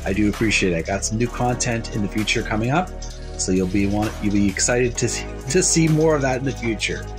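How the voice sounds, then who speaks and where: A man hosting a video game channel, speaking casually or reading aloud in a halting, deliberate, phrase-by-phrase way. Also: fairly low pitch. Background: background music.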